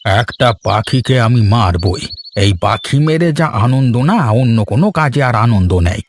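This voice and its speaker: A man's voice: medium pitch, animated, a little slow, a little hiss or hum, natural voice. Man playing a smug, arrogant cartoon troublemaker. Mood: gleefully cruel. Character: arrogant, cruel male troublemaker and freeloading relative. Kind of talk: Bengali cartoon dialogue acting.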